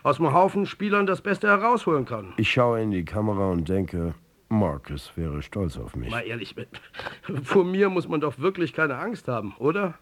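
A man's voice: medium pitch, lively intonation, moderate pace, a bit bright. An actor playing a wry, defiant male football coach in a radio drama, with a dry, conversational delivery. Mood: wry, reflective. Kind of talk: German radio drama acting.

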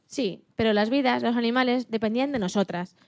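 A woman's voice: fairly high pitch, brisk, no echo, natural voice. A woman playing an animal liberation activist and mother who tells her story earnestly in an acted radio interview, stumbling slightly now and then. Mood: earnest, indignant.

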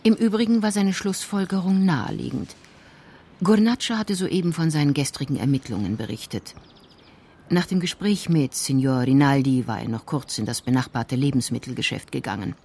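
A woman's voice: sits low, moderate pace, warm tone, slightly rough. Composed woman narrating a crime story.

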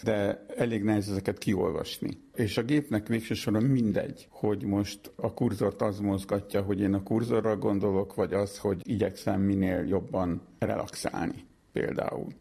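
A middle-aged man speaks as a psychologist being interviewed, explaining his work step by step and patiently clearing up misconceptions. His voice is low, gravelly and slightly husky, with a mellow, darker tone. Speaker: psychologist guest.

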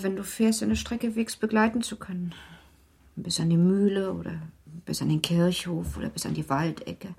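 A woman acting a young baron's wife in a German literary radio drama, genteel, earnest and quietly insistent. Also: medium pitch, vocal fry, husky.

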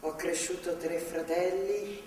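A steadfast, devout older woman in a theatre play, soothing and motherly yet firm. Her voice is on the low side and earnest. She is heard at a distance in a strongly reverberant hall with clear background noise. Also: steady tone, slow pace, a little mellow. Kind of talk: theatrical stage drama acting. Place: theatre stage, far microphone.

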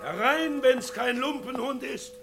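An actor playing a boisterous, gruff Wild West sheriff in a German radio crime drama, gravelly and husky, with a blustering manner. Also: male voice, very high-pitched, unhurried, over music, heavily acted. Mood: hearty, boisterous. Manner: blustering, swings from hearty to hushed.

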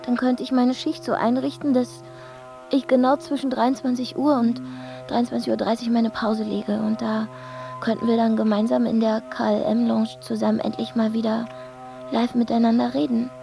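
A woman's voice: on the high side, flat delivery, moderate pace, gravelly, warm tone. A woman acting a lovesick young airport worker in a radio drama, intimate and pleading, with music underneath. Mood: longing.

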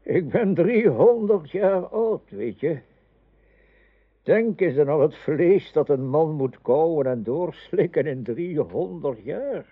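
Ancient male wizard mentor, solemn and wistful, slow delivery, slightly raised pitch, tinny narrow-band radio play. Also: lively intonation, husky, heavily acted. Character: weary three-hundred-year-old male wizard, mentor to a king.

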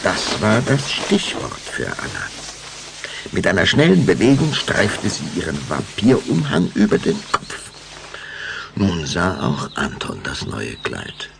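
A man narrating a German children's vampire audio drama as a storyteller, with measured, dramatic delivery. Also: sits low, huge pitch swings, gravelly, a little hiss or hum, exaggerated voice. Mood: storytelling.

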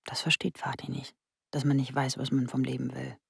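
A young woman confides her thoughts in a radio play, wry and unsure of life. Her voice has noticeable creak and sits close, like private thinking. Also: very deep voice, flat delivery, brisk, no room sound, full character voice. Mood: reflective. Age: young adult. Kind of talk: radio play acting, intimate inner monologue.

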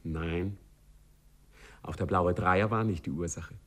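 A man narrating a satirical 1950s radio play as a wry, composed storyteller who speaks deliberately to the listener. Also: deep voice, a bit bright.

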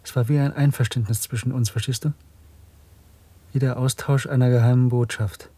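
An actor playing a middle-aged secret dissident, earnest, reflective and intense, in a German radio drama. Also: male voice, mid-range voice, steady tone, normal speed, dark tone, breathy edge, dry recording, lightly acted. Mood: confiding, intrigued.

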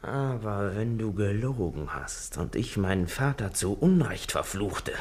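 Blunt, hot-tempered soldier. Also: male voice, on the low side, animated, measured pace, a little mellow. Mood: angry, threatening. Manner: blunt, scornful, commanding. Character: gruff male soldier captain, hot-tempered, honour-bound. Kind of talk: German radio play acting.

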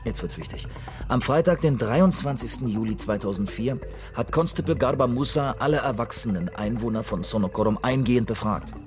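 An actor playing an officious police investigator in a radio drama, talking in a businesslike, official way. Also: male voice, mid-range voice, brisk, over music, natural voice. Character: male police investigator, brisk, officious, in charge. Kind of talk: radio drama acting, dictating a formal report.

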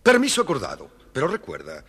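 Eccentric storybook master bookbinder: husky, swooping wildly in pitch, stern yet indulgent, pompously emphatic. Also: male voice, huge pitch swings, quick pace, a bit bright, full character voice. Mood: grudging, warning. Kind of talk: scripted audio storybook acting.

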